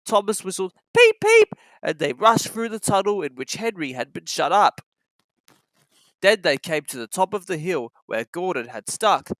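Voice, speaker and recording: A children's storybook narrator with a bright, forceful voice and very lively intonation. The reading is scripted and sounds close and dry. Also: mid-range voice, moderate pace, no room sound, rough recording.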